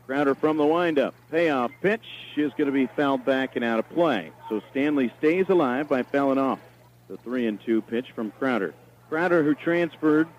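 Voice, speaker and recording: An American man calling a baseball game live as play-by-play announcer. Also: mid-range voice. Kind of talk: live sports commentary. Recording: dull, noisy archive game broadcast. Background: stadium ambience and noise.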